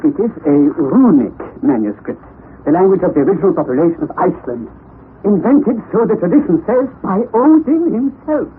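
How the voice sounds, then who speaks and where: An actor playing an impetuous, eccentric, scholarly professor of about fifty, excitable and exclamatory, in a vintage radio drama. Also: male voice, high-pitched, fairly quick. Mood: excited. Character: eccentric, impetuous middle-aged male professor. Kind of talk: 1960s radio drama acting. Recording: tinny lo-fi vintage radio broadcast with hiss.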